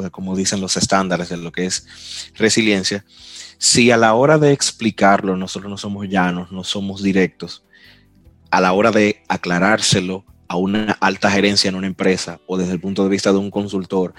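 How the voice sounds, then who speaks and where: A man's voice: fairly low pitch, no echo. A man speaking as a guest expert on a panel, explaining his ideas in a direct, plain way. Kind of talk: casual panel discussion.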